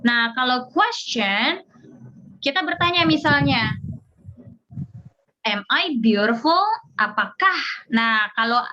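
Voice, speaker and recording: High, bright-voiced Indonesian woman teaching. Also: expressive. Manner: explanatory, repeats example sentences slowly. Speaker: English teacher. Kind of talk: informal grammar lesson with examples. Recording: dull audio with clear background noise.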